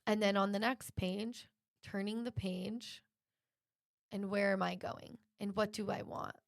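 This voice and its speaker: A woman delivers a motivational podcast monologue in a medium-pitched voice with noticeable creak. She is encouraging and drives her points home by restating them. The sound is dry, with very little room in it. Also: steady tone, unhurried, no room sound. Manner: encouraging, emphatic repetition. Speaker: podcast host.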